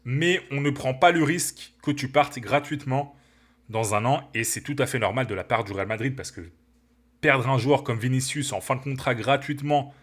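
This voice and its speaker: A man with a cold hosting a football channel, talking to viewers in an animated, opinionated, casual way from his living room. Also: medium pitch. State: has a cold. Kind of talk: casual opinion commentary to camera.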